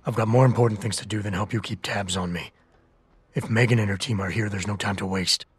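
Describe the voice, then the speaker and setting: Male actor as stoic cyborg operative, American, low gravelly growl, terse, deadpan, video game, roomy sound. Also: brisk, lightly acted. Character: gruff, stoic cyborg security operative, adult man. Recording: roomy game audio with music.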